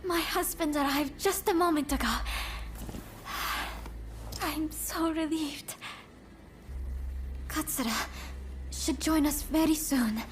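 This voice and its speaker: An actress playing a gentle, polite, worried woman in a video game drama. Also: sits high, slow, long pauses, noisy background. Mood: relieved, concerned. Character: gentle, worried, soft-spoken Japanese woman, anxious for her brother. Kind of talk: video game cutscene acting. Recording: music under the voice.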